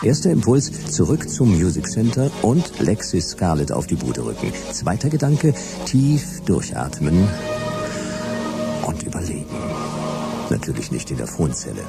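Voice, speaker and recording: Hard-boiled radio detective: deep, dry, terse, world-weary, with swinging inflection. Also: male voice, wildly swooping pitch, moderate pace, creaky, exaggerated voice. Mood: wry narrating. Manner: laconic, sardonic, terse. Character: weary, dry-witted private detective, man, narrating his case. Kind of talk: hard-boiled radio play narration and dialogue. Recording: music behind the voice.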